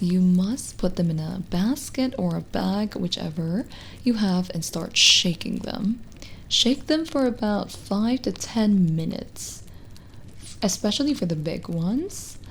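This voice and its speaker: A woman playing a kindly, lonely young witch healer in a soft, intimate ASMR roleplay, explaining gently and a little hesitantly. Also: lowish voice, gravelly, a little hiss or hum.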